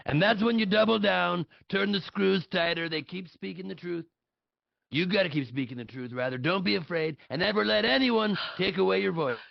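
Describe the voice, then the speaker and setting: A blustering, self-important older politician, acted by a man in a satirical table read. The voice sits a little high for a man and has a gravelly fry. He is blunt and dismissive, piling on superlatives, on a dry but dull recording. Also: moderate pace, no echo. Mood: defiant, boastful. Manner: boastful, blunt, repeats superlatives. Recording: dull lo-fi audio.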